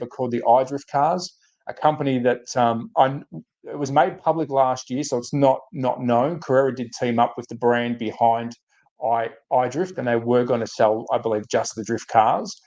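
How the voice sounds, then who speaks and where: Croaky Australian man chatting live. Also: mid-range voice, darkish tone. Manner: informal, thinking aloud. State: croaky, under the weather. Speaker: host of a hobby livestream. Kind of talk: casual live chat to viewers.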